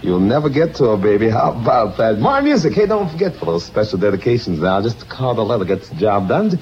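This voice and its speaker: A hoarse man working as a radio disc jockey, rattling off showy rhyming slang patter on an old radio broadcast. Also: lowish voice, very animated, a little fast, a little mellow, slight background noise. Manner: showy, rhythmic hipster slang. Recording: dull lo-fi vintage radio aircheck.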